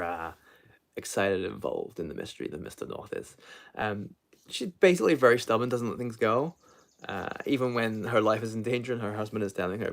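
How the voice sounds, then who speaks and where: A man chatting casually and hesitantly as he reviews books, often trailing off and rephrasing mid-thought. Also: medium pitch. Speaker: book reviewer hosting a live video. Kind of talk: casual rambling chat to viewers.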